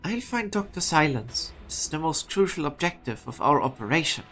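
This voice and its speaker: A woman playing a steady, determined operative in a game fan dub, speaking earnestly. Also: low-pitched, brisk, faint music behind. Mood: resolute. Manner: earnest, resolute.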